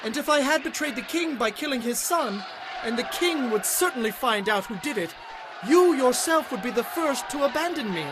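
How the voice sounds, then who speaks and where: An actor playing an eager, plain-spoken soldier in a dramatized scripture audiobook. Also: male voice, very high voice, expressive, normal speed, noisy background. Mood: indignant, earnest.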